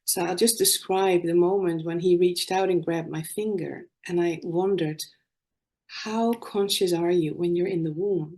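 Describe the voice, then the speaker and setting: A woman in her sixties with a Dutch accent gives a talk at an online conference. She tells her story earnestly and often restarts a phrase. Her voice sits a little low and comes through a video call. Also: mellow. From Netherlands. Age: 60s. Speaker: conference speaker, nurse.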